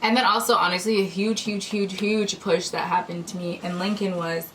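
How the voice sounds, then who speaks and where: A woman's voice: mid-range voice, fairly even intonation, moderate pace, fairly bright tone. Young woman host, casual storyteller, recorded a bit far from the mic. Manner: chatty, storytelling with many fillers. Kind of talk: casual chat. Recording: distant mic with faint noise.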